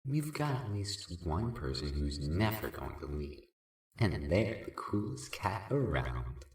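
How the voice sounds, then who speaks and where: A roomy, slightly distant recording of a man acting as a cool cat-like sprite in a podcast drama. The low voice takes its time, stretching words out playfully and tenderly. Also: big pitch swings, vocal fry, darkish tone, heavily acted. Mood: playful reassurance. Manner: slow, drawn-out cat puns. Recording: roomy echo, away from the mic.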